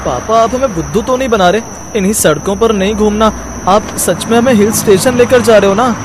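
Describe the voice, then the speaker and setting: A man's voice: high voice, fairly quick, background noise, natural voice. A man voicing a young boy, a childlike and eager son in a cartoon story. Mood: eager, questioning. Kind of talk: cartoon moral story acting.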